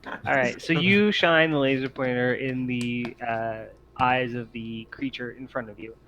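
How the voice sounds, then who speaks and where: A man acting as game master, improvising tabletop game narration in halting, piecemeal descriptions. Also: mid-range voice, fairly even intonation, fairly bright tone. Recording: dull lo-fi audio, noisy background.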